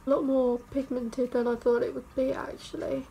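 A young woman, a beauty vlogger, chatting casually through a makeup test in her bedroom; she sounds croaky and stuffed up from a cold and rambles with self-mocking asides. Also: high voice, level tone, moderate pace, warm tone, a little hiss or hum, low-quality recording. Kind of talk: casual makeup tutorial chat. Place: bedroom, indoors. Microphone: camera mic at arm's length.

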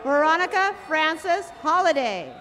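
A woman reading graduates' names one by one at an outdoor commencement, slow and careful. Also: high voice, big pitch swings, bright, forceful tone, some chatter behind. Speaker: commencement name reader.

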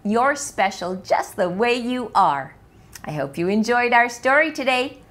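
A woman's voice: fairly high pitch, animated, measured pace, fairly bright tone. A mature woman hosting a storytime, reading aloud expressively and addressing young children directly. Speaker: children's storytime host and reader. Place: small living room with bookshelves.

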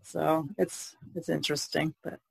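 A woman on a podcast panel, explaining things in casual, measured conversation. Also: deep voice, level tone, vocal fry, breathy edge, no room sound, low-quality recording. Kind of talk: casual discussion of art.

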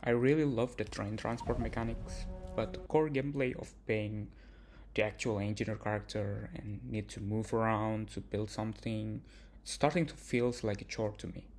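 A man's voice: fairly low pitch, steady tone, moderate pace, a little mellow, a little hiss or hum. A young man narrating his own game devlog in a plain, earnest way, with some non-native phrasing. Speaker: indie game developer.

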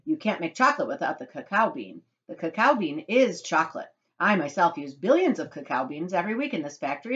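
Woman acting an excitable eccentric male factory owner, swooping intonation, emphatic, theatrical storybook voice. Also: mid-range voice, muffled recording, close to an everyday voice. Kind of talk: children's storybook character voice.

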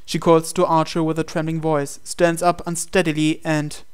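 A man's voice: fairly high pitch, level tone, moderate pace, clear, resonant tone. A man reading a visual novel aloud as a game player, in dramatic storytelling narration.

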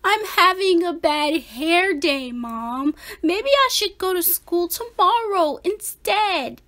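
An anxious kitten boy voiced by a woman reader pleads in a high, bright voice. He sounds whiny and very animated, like a storybook character dreading school. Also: slow pace, heavily acted. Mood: whiny, pleading. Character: anxious young boy cat, reluctant about school. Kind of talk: storybook read-aloud character.

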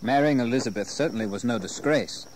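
An actor playing a defiant young gentleman scoundrel in an audio drama, formal and defensive. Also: medium pitch, quick pace, close to an everyday voice. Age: young adult. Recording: very noisy audio drama recording.

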